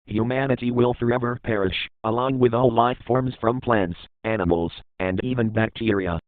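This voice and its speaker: A man's voice: mid-range voice, fairly even intonation, normal speed, dry recording, lightly acted. Through a thin, phone-like line with a dry sound comes a man playing a grave newsman. He is emotional and despairing, delivering a dramatized emergency broadcast. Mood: grave, resigned. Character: despairing male newsman announcing the end of the world. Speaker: news broadcaster. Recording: tinny phone-like band, dry.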